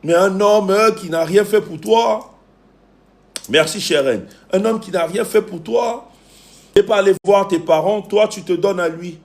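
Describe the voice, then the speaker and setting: A man's voice: high-pitched, measured pace. A man with a Cameroonian accent coaching viewers on a livestream, preaching emphatically and repeating phrases for effect. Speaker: relationship coach and live stream host. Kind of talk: preachy livestream talk to viewers, greeting commenters.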